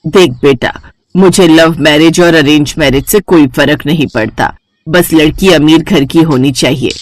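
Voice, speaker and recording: A woman's voice: low voice, unhurried, no room sound, pitched below the performer's own voice. A woman voicing a greedy, snobbish middle-aged mother-in-law in a cartoon story, with a scolding, exclaiming manner. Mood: calculating. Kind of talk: Hindi cartoon bedtime-story character acting.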